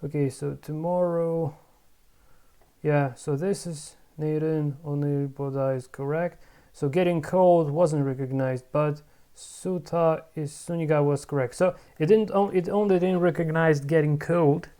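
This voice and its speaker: Mellow-voiced learner drilling pronunciation. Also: mid-range voice, moderate pace. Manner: repeats words over and over, self-correcting; muttering to self, bursts of excitement. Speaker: Korean language learner. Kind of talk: casual pronunciation practice vlog.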